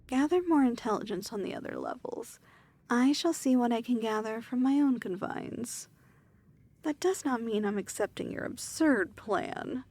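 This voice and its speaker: A woman playing a weary, imperious demon lord in an ASMR fantasy roleplay, speaking formally and softly with measured diction. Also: fairly high pitch. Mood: guarded, softer.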